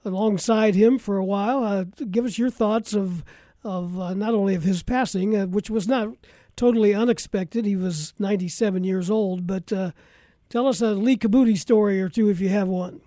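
A man with an American accent hosts a radio sports interview. His voice is high and on the mellow side, recorded close and dry. He phrases things haltingly. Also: fairly even intonation, dry recording. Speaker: radio show host.

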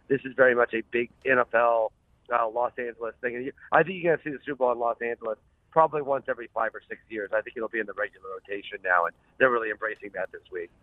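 Over a narrow, tinny phone connection, a man talks as a radio interview guest. He is chatty and emphatic, rambling through his answers in a mid-pitched, dry-sounding voice. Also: no room sound. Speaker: sports columnist, show guest. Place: calling from a car.

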